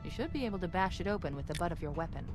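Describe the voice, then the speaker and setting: Woman playing a witty, crisp military AI. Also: fairly low pitch, animated, rapid-fire, loud music behind the voice, natural voice. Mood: brisk, focused. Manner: wry, crisp, articulate. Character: witty, confident female military AI. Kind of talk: video game voice acting.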